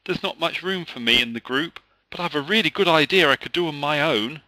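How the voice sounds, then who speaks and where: Man voicing an earnest, high-pitched schoolboy. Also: a little fast, pushed and bright, no room sound, rough recording. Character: young schoolboy, earnest and chatty with a puppy. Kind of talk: children's story read aloud.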